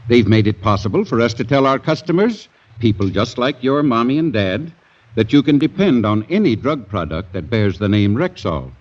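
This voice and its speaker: A man's voice: medium pitch, sing-song, normal speed, darkish tone, muffled recording. A man playing a kindly, patient family druggist in a folksy 1940s radio advertisement, on an old recording. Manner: folksy, explanatory.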